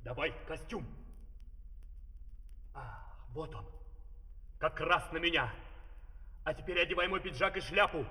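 An actor playing a brisk, scheming father giving furtive orders in a Soviet children's audio play. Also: on the high side, animated, pushed and bright, exaggerated voice. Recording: distant, reverberant vintage vinyl record.

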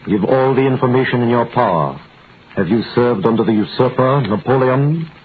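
A man's voice: medium pitch, moderate pace, lightly acted. Man as a curt prosecutor, tinny sound. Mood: curt, official. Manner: formal, probing, curt. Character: cool authoritative royal prosecutor questioning a prisoner. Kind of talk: 1930s radio acting. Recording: thin noisy vintage radio recording.

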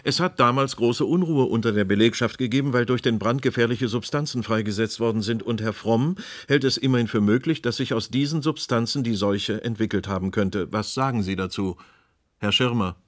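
A man's voice: medium pitch, brisk, close to an everyday voice. An actor playing an insistent radio presenter in a German radio play, formal and pointed as he presses his guests. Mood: matter-of-fact. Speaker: radio host. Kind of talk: German radio play as live panel programme.

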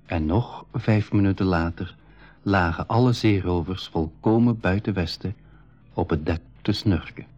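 A man narrating a school radio adventure play in a measured, explanatory way. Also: low voice, a little mellow. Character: composed male storyteller explaining an adventure to listeners. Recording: slightly dull 1980s radio recording.

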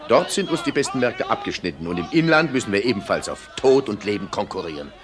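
A self-important factory boss in a radio drama, low-voiced and formal, quick to snap. A faint murmur of a crowd lies behind him. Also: male voice, sing-song. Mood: measured, aggrieved. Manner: bourgeois formal diction, snapping. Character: irascible, self-important male factory owner. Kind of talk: theatrical German radio play acting.

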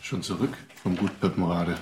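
A man's voice: low-pitched, fairly even intonation, normal speed, noisy background, lightly acted. An actor playing a stern, proud middle-aged merchant and father in a radio drama, probing with clipped questions. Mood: guarded, calculating. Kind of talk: German radio drama acting. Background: music.

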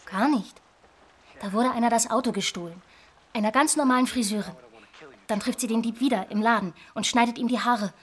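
A woman's voice: fairly high pitch, brisk, lightly acted. An actress playing a restless, dreamy young woman in a radio drama, musing in a conversational way. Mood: dreamy, chatty. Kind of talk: radio drama monologue and dialogue.

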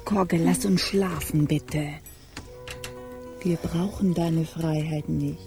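A weary, protective, wry woman on a pirate ship in a German radio drama. Her voice is gravelly and mellow, with a dry, genteel delivery. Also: low voice, steady tone, slow pace, soft background music. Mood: weary, firm.

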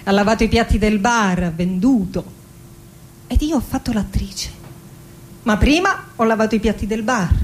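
An actress playing a middle-aged Argentine woman poet in a theatrical radio monologue, reciting lyrically and deliberately with dramatic pauses, melancholy, wry and passionate. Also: mid-range voice, a little hiss or hum, close to an everyday voice. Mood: wistful, proud.

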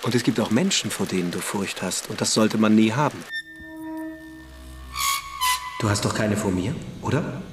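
A man playing a precocious older schoolboy in a radio play, calm and mysterious, deliberate and quietly superior as he persuades. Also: fairly low pitch, natural voice. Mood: calm, probing. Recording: echoey room, faint noise.

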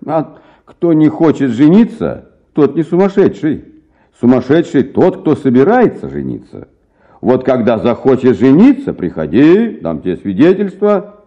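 A wry, unflappable doctor in a radio comedy, with a Russian accent. His medium-pitched voice rises and falls dramatically as he pronounces his verdicts, on a slightly muffled recording. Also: sing-song, unhurried, dark tone. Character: unflappable, wry male doctor refusing a favour. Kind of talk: theatrical radio drama acting of a classic comic story.

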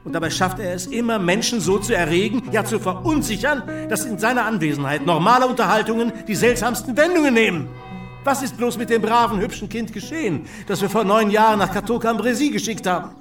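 A man's voice: sits high, fairly quick, over music, natural voice. A male actor in a radio play as a stern, exasperated provincial lawyer, speaking formally and emphatically. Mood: bitter, exasperated. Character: exasperated provincial French lawyer, liberal-minded, stern disappointed father.

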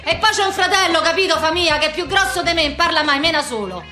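High-voiced brash young Roman woman. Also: expressive, fast, pushed and bright, faint music behind, heavily acted. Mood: aggrieved, rambling. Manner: slangy, combative, rambling street talk. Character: brash, foul-mouthed young working-class Roman woman, quarrelsome and aggrieved. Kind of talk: theatrical comic monologue for radio.

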